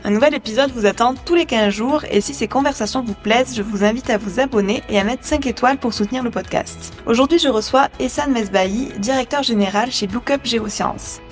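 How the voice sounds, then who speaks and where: Woman podcast host, fairly high voice, faint music bed under her, gentle questioning. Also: fairly quick, few pauses. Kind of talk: scripted intro then interview questions.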